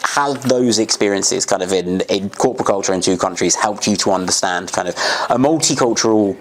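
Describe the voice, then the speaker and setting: A man's voice: fairly low pitch, fairly quick, a little hiss or hum. A man hosting a casual interview, talking in rambling, filler-heavy sentences. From United Kingdom. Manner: rambling, many fillers and restarts. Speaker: podcast host.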